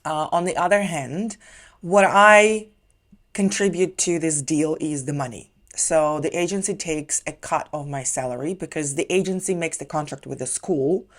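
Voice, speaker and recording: A woman's voice: low voice, normal speed. A young Ukrainian woman with a Ukrainian accent, a non-native English speaker, giving chatty step-by-step advice in a casual vlog. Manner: chatty, explaining step by step with fillers. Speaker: English teacher vlogger.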